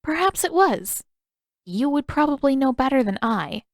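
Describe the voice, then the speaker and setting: A woman voicing a gentle, wistful elderly female healer in an audiobook, with measured, formal, reflective phrasing. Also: high voice, very animated, dry recording, clean studio sound, voice pushed up. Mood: gentle, thoughtful. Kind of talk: audiobook character dialogue.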